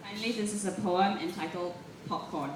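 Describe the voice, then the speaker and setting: A woman reads literary work aloud to a live room in an expressive, deliberate storytelling way. Her voice sits at a medium pitch. The recording is heavily echoey and distant from the mic, with clear noise behind her. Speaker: reader at a literary festival. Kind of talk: live literary reading of prose and poetry. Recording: roomy echo, distant mic, clearly noisy.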